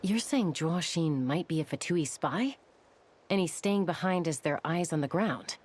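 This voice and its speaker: A woman's voice: low voice, animated, measured pace, a little mellow, a little hiss or hum. An actress playing a cautious, level-headed woman in a video game, thinking things through aloud. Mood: wary. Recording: game audio with music behind. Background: game music.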